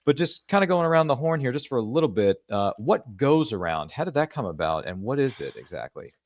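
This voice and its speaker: A man's voice: medium pitch, very animated, a little fast, a little mellow. A man hosting a live interview show, chatting casually with jokey, rambling asides. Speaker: podcast host.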